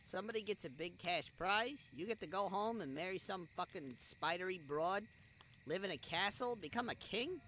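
A man's voice: high-pitched, expressive, moderate pace, sharp tone. A man playing a cocky, foul-mouthed bandit in a fantasy audio roleplay, with a slangy, mocking, wisecracking way of talking. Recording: noisy, with night crickets behind. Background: crickets, night forest ambience.

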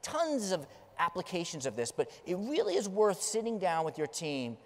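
A man's voice: high voice, big pitch swings, moderate pace, a little mellow. A middle-aged man giving a conference keynote, telling stories with emphasis as he gestures and moves around a large stage. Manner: emphatic, storytelling delivery, gesturing while pacing the stage. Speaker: keynote speaker. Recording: long reverb of a large hall.

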